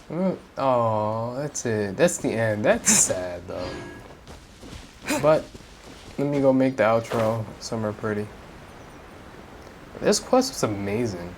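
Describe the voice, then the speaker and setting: Very low-voiced woman, casual gaming commentary. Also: background noise. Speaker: gamer reacting to a playthrough.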